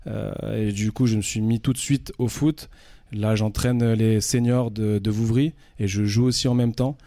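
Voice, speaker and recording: A man's voice: on the low side, fairly even intonation, moderate pace, mellow, dry recording. A man in his thirties from France, a radio guest chatting casually, hesitant with many fillers and restarts. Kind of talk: casual interview talk.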